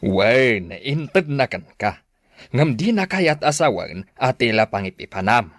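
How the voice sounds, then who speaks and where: Concerned, questioning Ilocano father for podcast drama, played by a man; very expressive pitch, dry studio sound. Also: slow, no echo. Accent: Ilocano Filipino. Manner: questioning, admonishing. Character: concerned, admonishing father of a grown married daughter. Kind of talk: audio drama acting.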